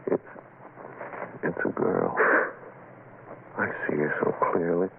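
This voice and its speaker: Very deep, gravelly frightened father, radio horror. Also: sing-song, slow, heavily acted. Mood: uneasy wonder. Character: frightened, protective father trapped in haunted cabin. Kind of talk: 1970s radio horror acting. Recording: noisy, tinny old radio recording.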